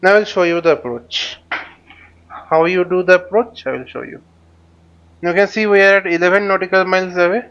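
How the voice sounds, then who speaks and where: Male tutorial host, smooth higher-set voice, halting think-aloud commentary, slightly dull recording. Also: fairly bright tone. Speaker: flight simulator instructor. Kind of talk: informal tutorial commentary while flying a simulator.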